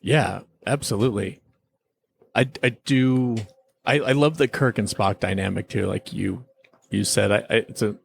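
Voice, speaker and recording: A man with a low, creaky voice talks as a podcast host. He rambles with a fan's enthusiasm in a loose, casual review style, circling around his points as he goes. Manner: enthusiastic, rambling fan talk. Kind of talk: casual episode review chat.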